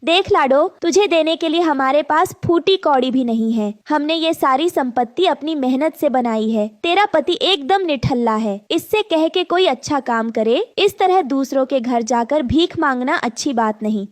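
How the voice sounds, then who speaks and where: Stingy bird sister-in-law for a cartoon fable, played by a woman: very high, scornful, scolding, dry sound. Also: expressive, moderate pace, hardly any pauses, no echo. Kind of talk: Hindi moral-story cartoon voice acting.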